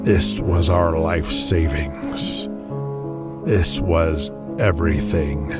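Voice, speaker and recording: A man playing a broke, despondent veteran wrestler in an audio drama, speaking slowly and heavily. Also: extremely low pitch, loud music behind the voice, full character voice. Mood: despairing. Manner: slow, heavy, downcast.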